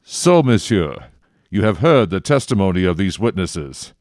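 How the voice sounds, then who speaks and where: Actor as low, slow, stern judge. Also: male voice, big pitch swings, darkish tone, no room sound, clean studio sound. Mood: stern, measured. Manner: measured, authoritative. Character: stern, authoritative male presiding judge. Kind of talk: radio drama acting.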